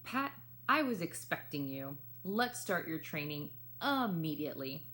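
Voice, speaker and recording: A woman's voice: deep voice, sing-song, measured pace, a bit bright, full character voice. A woman voicing a welcoming male colonel in a storybook, a flying instructor who speaks in encouraging, instructive statements. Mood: welcoming, brisk.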